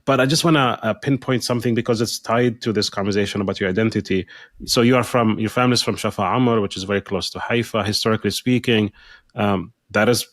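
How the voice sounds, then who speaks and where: Guy hosting a podcast chat, lowish voice, stops and restarts a lot, dry mic. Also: fairly even intonation, dry recording. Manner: halting, restarts often, many fillers. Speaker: podcast host. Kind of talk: conversational interview.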